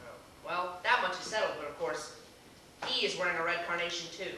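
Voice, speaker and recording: Flustered, indignant young suitor woman performer, bright voice, halting formal delivery, amateur stage comedy, very echoey distant pickup. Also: lowish voice. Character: flustered, indignant young man awaiting a blind date. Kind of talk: amateur one-act comedy stage acting. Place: theater stage, recorded from far off.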